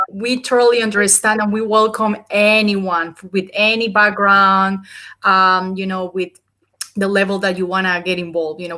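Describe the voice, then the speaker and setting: A woman's voice: mid-range voice, bright, forceful tone. A woman from Colombia with a Colombian Spanish accent, hosting a group video call in an eager, rambling way with many fillers. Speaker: chapter host. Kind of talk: casual video call chat.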